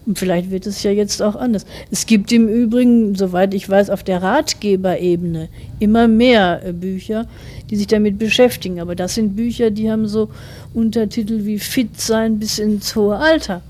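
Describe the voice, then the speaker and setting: A woman's voice: mid-range voice, fairly even intonation, normal speed, mellow. A woman of forty, an author answering interview questions in a reflective, careful, explanatory way.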